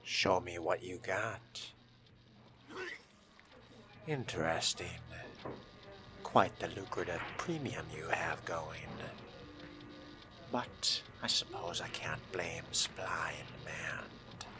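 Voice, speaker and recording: A man roleplaying a brooding, calculating vampire fledgling in a video game, musing to himself in measured, formal phrases. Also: deep voice, music in the background. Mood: cool, curt. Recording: clear background game noise.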